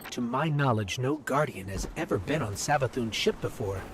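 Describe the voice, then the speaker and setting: Lively, earnest robot companion voiced by a man. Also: mid-range voice, moderate pace, noisy background, natural voice. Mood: focused, wary. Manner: expressive, chatty, earnest. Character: small floating robot ghost companion, earnest, talkative, anxious. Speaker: companion guide to the player. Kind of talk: video game voice acting.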